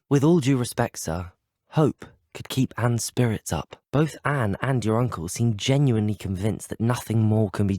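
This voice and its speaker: An earnest young British student adventurer in a fantasy video game. His voice is fairly low and moves around expressively, recorded close and dry. He speaks in a formal, courteous, well-spoken way. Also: a little mellow, no room sound. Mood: earnest, respectful. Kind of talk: scripted video game voice lines.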